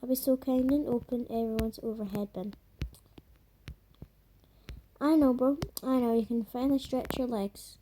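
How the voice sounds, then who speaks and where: Woman gamer, croaky, high and soft-toned, loose filler-laden commentary. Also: fairly even intonation, moderate pace, long pauses, a little hiss or hum, rough recording. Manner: rambling, filler-heavy, trails off into murmurs. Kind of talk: casual gameplay commentary.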